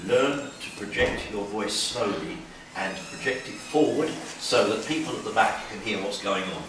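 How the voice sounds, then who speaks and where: A man's voice: on the low side, expressive, moderate pace, background noise. A man in his seventies giving a talk to schoolchildren with a strong, projecting voice. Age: 70s. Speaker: guest speaker at a school. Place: school hall. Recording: echoey hall from far off, children's chatter.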